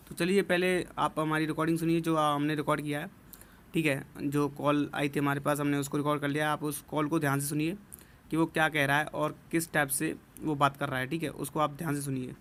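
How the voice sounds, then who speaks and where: Higher-pitched man explaining to viewers. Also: flat delivery, moderate pace, fairly bright tone. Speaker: presenter warning students about a scam call. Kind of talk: informal explanatory talk to viewers.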